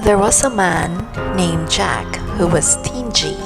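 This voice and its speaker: A woman narrating a children's storybook aloud in a measured, deliberate manner, as a storyteller. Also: lowish voice, lively intonation, gravelly, loud music behind the voice.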